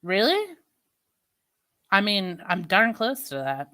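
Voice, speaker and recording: Slow-drawling St. Louis woman. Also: mid-range voice, wildly swooping pitch, bright, forceful tone. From Midwestern United States. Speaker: streamer chatting with viewers. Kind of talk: casual chat.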